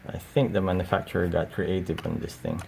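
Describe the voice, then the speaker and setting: A man giving a casual, unscripted show-and-tell in a hesitant, halting way. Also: low-pitched, gravelly. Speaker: collector showing cassette tapes. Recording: audible steady background noise.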